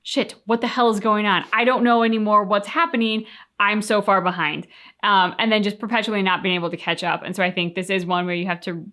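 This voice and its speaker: A woman's voice: medium pitch, steady tone, fast talker, bright, forceful tone. Woman chatting as reaction co-host. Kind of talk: casual reaction discussion.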